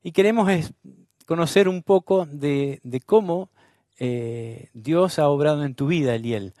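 Unhurried man hosting. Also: fairly high pitch, a little mellow, no room sound, clean studio sound. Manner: unhurried, with many pauses and fillers. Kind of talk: devotional interview intro.

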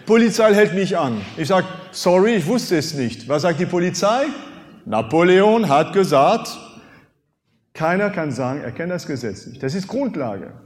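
A man's voice: high voice, moderate pace. French-native preacher in his fifties, hall reverb. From France. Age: 50s. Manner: animated, rhetorical questions, emphatic swings in loudness. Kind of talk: sermon.

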